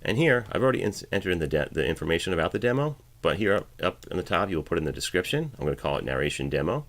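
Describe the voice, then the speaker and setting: Very low-pitched man giving a tutorial. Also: big pitch swings, rapid-fire. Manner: casual step-by-step explaining. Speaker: voiceover artist giving a tutorial. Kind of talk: instructional screencast narration. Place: home voiceover studio, at the editing station.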